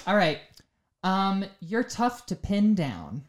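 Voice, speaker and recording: A woman's voice: medium pitch, very animated, takes it slowly. A woman in her twenties co-hosting a podcast, blunt and teasing as she chats. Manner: teasing, blunt, laughs at her own jokes. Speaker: podcast co-host. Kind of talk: casual chat.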